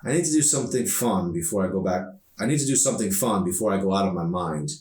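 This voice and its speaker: An opinionated, restless teenage girl read by a man in a low pitch, heard from off the microphone in an echoey room. The delivery is slangy and emphatic, full of sardonic complaint, as in an audiobook. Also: animated, fast, darkish tone. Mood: exasperated. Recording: roomy echo, distant microphone.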